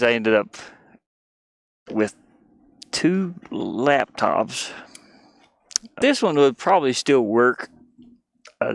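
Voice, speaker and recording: A man's voice: on the low side, a bit bright, dry recording, clean studio sound. A man live streaming with casual, rambling chat in a scattered, self-deprecating manner. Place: inside a small cluttered van.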